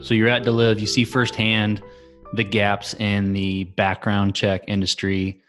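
A man hosting a tech podcast, giving a smooth scripted intro and then asking interview questions. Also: on the low side, completely flat delivery, unhurried, fairly bright tone, faint music behind. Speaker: podcast host.

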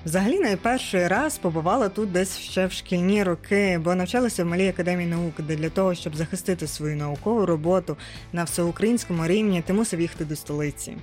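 A woman's voice: on the low side, fast talker, a little mellow. A woman narrates a personal audio essay in a reflective storytelling way. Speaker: podcast narrator. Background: background music.

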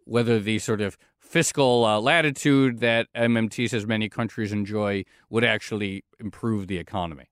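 A man co-hosting a podcast, thinking aloud in an animated casual chat. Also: fairly low pitch, normal speed, few pauses, no room sound, clean studio sound. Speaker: podcast co-host.

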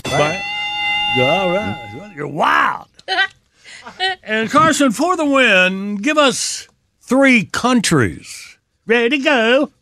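High-voiced, laughing morning radio host. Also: male voice, very animated, sharp tone. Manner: laughs often, quick teasing delivery. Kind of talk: joking morning radio banter. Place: radio studio.

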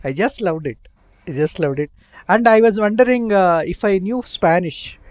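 A man's voice: high-pitched, animated, normal speed, no echo, clean studio sound. A male interviewer asking conversational questions, enthusiastic, with frequent filler sounds. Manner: warm, enthusiastic, with many filler "uh"s.

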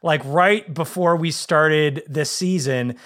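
A woman's voice: sits low, slow. A woman co-hosting a podcast, asking questions in a playful, laughing way. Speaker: podcast co-host. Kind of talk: casual interview chat.

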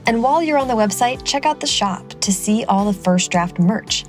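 A woman's voice: a little high, animated, normal speed, soft background music. A woman hosting a podcast about writers, reading her upbeat intro and then asking interview questions. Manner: upbeat, promotional read. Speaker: podcast host.

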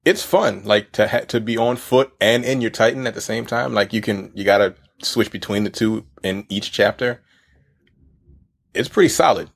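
A man's voice: on the low side, steady tone, moderate pace, creaky, slightly rough. A man co-hosting a casual podcast, laid-back, swearing freely and laughing at himself. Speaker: podcast co-host.

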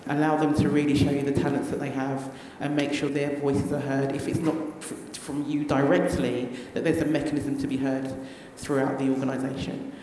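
A woman speaking on a panel, soft-spoken and restarting her phrases. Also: rumbling low, flat delivery, fairly quick, hardly any pauses, slight background noise. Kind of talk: panel discussion. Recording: very echoey hall.

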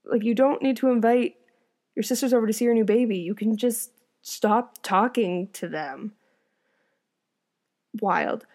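A woman's voice: fairly high pitch, a little slow, pauses often, darkish tone. A young woman reads an old folk tale aloud in an everyday voice, stopping often to comment on the story. Her asides are casual and amused, and she chuckles as she talks.

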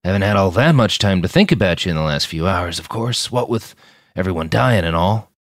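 Wounded army officer, male narrator acting, audio fiction: slurred, drained, gallows humor, varied pitch. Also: expressive, fairly quick, dry recording, clean studio sound. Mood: dazed, wry. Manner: wryly humorous through pain. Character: badly wounded soldier, warrior poet, wry and weary.

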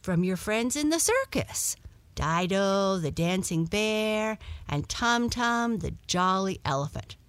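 A woman's voice: medium pitch, lively intonation, slow pace, no room sound, pitched above the performer's own voice. A woman voicing a timid, tired young female cat in a children's storybook, polite and pleading. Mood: surprised, eager.

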